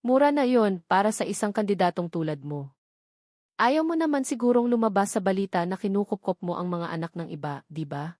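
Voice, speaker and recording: A woman's voice: mid-range voice, big pitch swings, brisk, a little mellow, no room sound, lightly acted. Sneering, greedy male blackmailer for an audio love drama, read by a woman, demanding and cocky, dry close sound. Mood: sly, extorting. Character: arrogant, greedy male extortionist, mocking and threatening.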